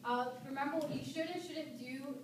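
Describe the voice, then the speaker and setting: A woman's voice: high voice, moderate pace. A young woman giving an informal, humorous speech in a conversational, colloquial way, addressing her listeners casually. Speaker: student speaker giving a speech. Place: echoey room, recorded from a distance. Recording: echoey room, distant mic, noisy background.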